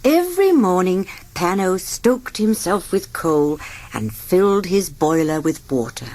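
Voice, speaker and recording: A woman reading a children's read-along story aloud, expressive and sing-song, acting out the sound effects. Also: fairly low pitch, a little slow, slight background noise. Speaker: storybook narrator.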